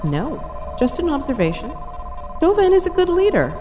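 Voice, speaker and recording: A woman's voice: high voice, wildly swooping pitch, normal speed, background noise. A woman playing a cool, analytical senior starship officer in a sci-fi audio drama, dry and precise in manner. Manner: dry, precise, matter-of-fact.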